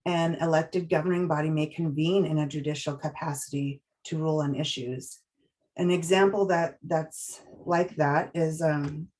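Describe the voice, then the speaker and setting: Woman, low voice, training presenter, measured, explanatory, hesitant restarts. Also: darkish tone.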